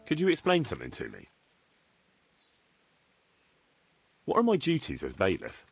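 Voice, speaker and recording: An actor playing a young medieval bailiff in a video game, polite and inquisitive, speaking in a conversational way. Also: male voice, lowish voice, huge pitch swings, fast talker, creaky, breathy edge, soft background music, heavily acted. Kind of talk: video game cutscene dialogue.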